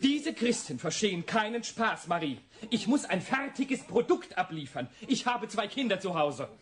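An actor playing a hard-nosed, mocking radio producer in a German crime audio drama, theatrical and condescending. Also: male voice, sits high, sing-song, normal speed, fairly bright tone. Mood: dismissive, mocking.

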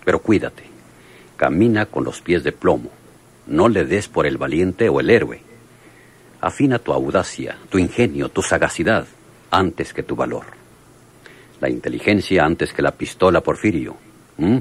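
An actor playing a cautious, grave Mexican police lieutenant in a radio drama, speaking with deliberate authority. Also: male voice, a bass voice, expressive, slightly rough, full character voice. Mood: grave, advising.

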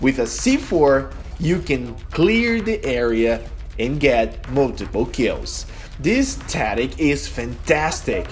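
A man's voice: mid-range voice, big pitch swings, takes it slowly, faint music behind. A man from Brazil with a Brazilian accent and Portuguese as his first language, narrating a gameplay tutorial in careful, earnest non-native phrasing. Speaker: channel host, game guide.

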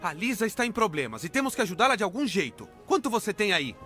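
A man playing an earnest, excitable teenage boy inventor in an animated film dub, with a Brazilian accent. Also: high-pitched, fast talker, soft background music, full character voice. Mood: worried. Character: earnest, eager, inventive boy in his teens.

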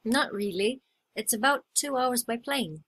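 A young adult woman acting out short scripted everyday dialogues for a listening test, with clear expressive delivery. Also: a little high, measured pace, a little hiss or hum.

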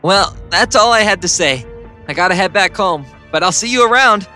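A man's voice: high-pitched, expressive, normal speed, sharp tone, soft background music, full character voice. A man voicing an awkward, meek teenage schoolboy in a comic dub, hesitant and apologetic. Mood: earnest, self-deprecating. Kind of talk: dramatic comic dub acting.

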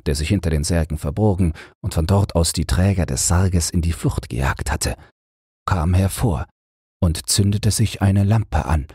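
A man's voice: sits low, warm tone, breathy edge, no echo, clean studio sound. A man reading a scripted bedtime fairy tale as an audiobook narrator.

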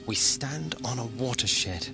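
A man's voice: mid-range voice, expressive, slow pace, gravelly, over music. A man playing a philosophical, defiant alien traveler in an audio drama, declaiming in a literary, sardonic way. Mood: grave, poetic. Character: wandering alien Doctor, poetic, philosophical, defiant, male. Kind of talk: theatrical audio drama acting.

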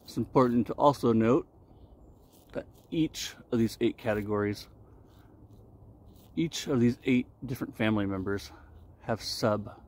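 A man in his forties giving a casual explanatory talk to camera as a vlogger, with deliberate, halting phrasing, recorded outdoors. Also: mid-range voice, darkish tone. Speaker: vlogger reviewing shaving products. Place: outdoors in a wooded park clearing. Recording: noisy outdoor ambience. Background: outdoor ambient noise.

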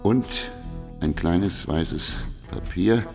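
Deep, gravelly hardboiled private detective. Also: male voice, very slow, darkish tone, faint music behind, heavily acted. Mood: dry, weary. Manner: laconic, terse, sardonic asides. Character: hardboiled private detective, dry, weary, wry. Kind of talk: German radio crime drama acting.

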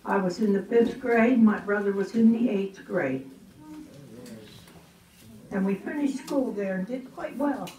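A woman's voice: medium pitch, a little slow, long pauses. An older woman reminiscing fondly about her father to an audience. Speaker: guest speaker. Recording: echoey room, distant mic, faint noise.

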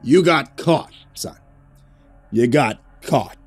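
A man's voice: medium pitch, normal speed, soft background music, pitched above the performer's own voice, natural voice. A man voicing a smug older male newspaper boss who bullies and gloats. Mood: scolding. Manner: gloating, bullying.

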